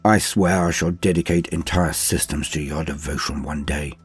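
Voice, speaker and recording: A man voicing a devout, anxious young religious acolyte in a war story, in a hushed, solemn, prayerful manner. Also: rumbling low, fairly even intonation, moderate pace, slightly rough, soft background music, exaggerated voice. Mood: fervent prayer. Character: devout, anxious male acolyte, earnest and weary.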